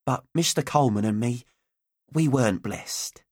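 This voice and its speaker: A man's voice: medium pitch, expressive, moderate pace, darkish tone, husky, no echo, clean studio sound. A man voicing a doting, motherly, childless auntie with affectionate, homely talk in an audiobook. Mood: tender, wistful. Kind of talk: audiobook character voice.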